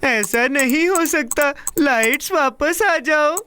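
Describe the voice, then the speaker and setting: A woman voicing an eager, dramatic little boy in a children's cartoon dub, with a childlike, expressive delivery. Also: high voice, slow. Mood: disappointed. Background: music.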